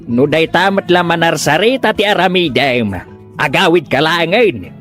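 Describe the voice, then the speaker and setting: Actor playing an exasperated scolding character, swooping pitch. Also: animated, moderate pace, music in the background, close to an everyday voice. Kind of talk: Ilocano comedy drama dialogue.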